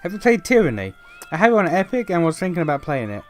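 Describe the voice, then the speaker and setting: A man's voice: high-pitched, sing-song, fast, soft background music. Chatty game streamer man. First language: Portuguese. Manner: chatty, rambling, jokey, many fillers. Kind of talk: casual let's-play commentary.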